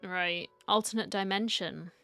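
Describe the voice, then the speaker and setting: A woman streamer giving low-key, casual reaction commentary on a game, close to the microphone. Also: a little slow, creaky, bright, forceful tone, faint music behind.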